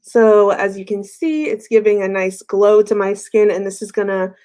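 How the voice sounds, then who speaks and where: A woman's voice: mid-range voice, fairly even intonation, normal speed, mellow. A young woman hosting a casual makeup tutorial livestream, her voice a little off while she recovers from being sick, chatty with frequent fillers. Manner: chatty, friendly, frequent "um" fillers and light laughs.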